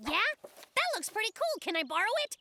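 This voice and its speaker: A woman's voice: extremely high pitch, very animated, a little fast, noisy background. A woman voicing a boastful, hyperactive young male fox in a children's cartoon, showy and bossy. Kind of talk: animated children's cartoon acting. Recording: loud music under the voice.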